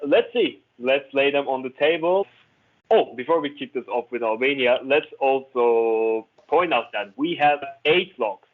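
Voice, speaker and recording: A man's voice: medium pitch, expressive, measured pace. A man hosting a casual group discussion, heard through a tinny, band-limited connection. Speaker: host.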